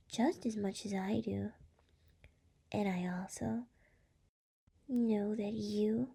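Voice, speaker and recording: A woman voicing a seductive, tender demon lord in an intimate ASMR roleplay, speaking softly and coaxingly with a breathy, often whispering voice. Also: fairly high pitch, exaggerated voice. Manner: slow, soft, coaxing. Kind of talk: scripted ASMR roleplay, intimate address to listener.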